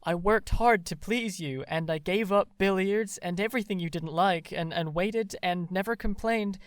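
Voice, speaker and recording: An actor playing an ardent, boyish young man in love in an audio drama, earnest and halting. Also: high voice, smooth, no echo, clean studio sound, natural voice. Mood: hurt, frustrated.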